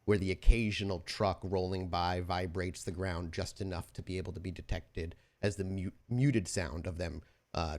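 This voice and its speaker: Male game storyteller and podcast host, moderately low voice, tabletop horror. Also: darkish tone.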